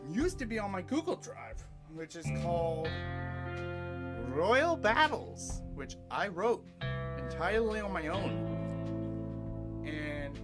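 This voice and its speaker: A man hosting an audio play podcast, reading his own writing theatrically with a halting, dramatic delivery. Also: high-pitched, big pitch swings, over loud music.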